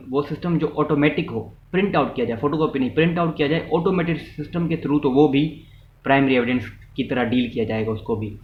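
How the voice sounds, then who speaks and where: A man with a Pakistani accent giving an explanatory law lecture, mixing in English terms and restating each point in a didactic way. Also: a little high. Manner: didactic, repeats and rephrases points, checks in with listeners. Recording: muffled, roomy echo, background noise.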